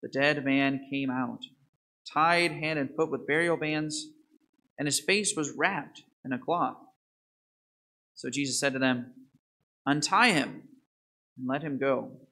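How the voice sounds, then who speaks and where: A man's voice: medium pitch, slow pace, pauses often, sharp tone, poor audio. A man in his thirties, a priest reading the gospel and then preaching a homily in a large church, quizzing the congregation. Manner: asks the congregation questions and quizzes children. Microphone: microphone at the altar, far camera.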